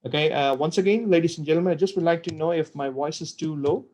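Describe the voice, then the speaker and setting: A man presenting a software webinar on a computer call, talking with many fillers and repeated words. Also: a little high, fast. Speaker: product presenter.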